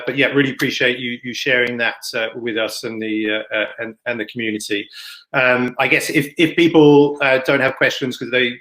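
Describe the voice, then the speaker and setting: A man hosting an online event in a casual, unscripted way, with halting, informal delivery. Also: medium pitch, fairly bright tone, rough recording. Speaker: event host and moderator. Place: online video session. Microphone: computer microphone, plugging in another mic partway.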